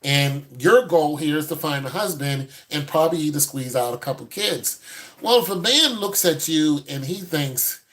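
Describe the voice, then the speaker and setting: A man's voice: a little high, animated, normal speed, a bit bright. A man in his fifties, a host giving blunt, no-nonsense advice to a caller in casual chat. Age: 50s.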